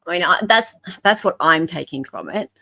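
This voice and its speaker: An Australian woman with a slightly low voice talks as a podcast co-host. She explains casually, stopping and correcting herself as she works through her point. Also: animated, creaky, bright, forceful tone. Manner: halting, self-correcting, explanatory. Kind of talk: casual discussion of legal case emails.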